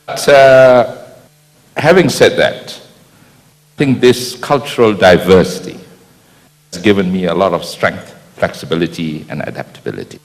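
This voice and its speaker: Older man, deep and lively, in an echoing hall. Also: a little slow, pauses often. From Malaysia. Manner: rambling, anecdotal, with many fillers and restarts. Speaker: keynote speaker. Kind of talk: conference speech. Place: large convention hall. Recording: long hall reverb.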